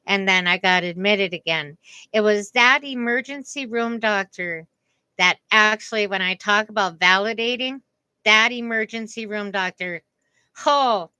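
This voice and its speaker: A middle-aged woman talking slowly and earnestly about her own experience, with careful pauses and a slightly strained voice. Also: medium pitch, pushed and bright, smooth, no room sound. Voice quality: voice strained after a vocal cord paralysis. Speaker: speaker giving a message on communication. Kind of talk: slow reflective personal talk.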